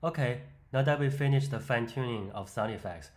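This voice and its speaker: A man giving an explanatory, step-by-step voice-over for a software demonstration. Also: mid-range voice, brisk. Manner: step-by-step, instructional. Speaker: software tutorial presenter. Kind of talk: explanatory screen-demo voice-over.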